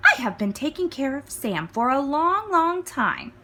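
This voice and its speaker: A woman's voice: high voice, very animated, unhurried, fairly bright tone, heavily acted. A woman voicing a polite, earnest young boy turtle in a children's storybook. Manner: polite, pleading.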